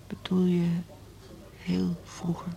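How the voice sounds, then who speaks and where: Proud middle-aged music teacher. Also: female voice, on the low side, completely flat delivery, slow pace, vocal fry, warm tone, full character voice. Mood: wistful. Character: proud, disapproving, ageing female music teacher. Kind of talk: radio drama acting.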